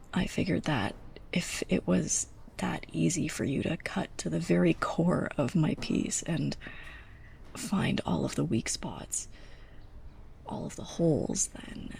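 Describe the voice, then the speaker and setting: Woman acting a creaky, hushed, lovestruck library assistant. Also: sits low, slight background noise, heavily acted. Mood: bitter, vulnerable confession. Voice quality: soft, breathy, often near a whisper. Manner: halting, awkward, self-conscious. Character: sarcastic, lovestruck young woman librarian's assistant, prickly and flustered. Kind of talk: ASMR roleplay, intimate monologue to the listener.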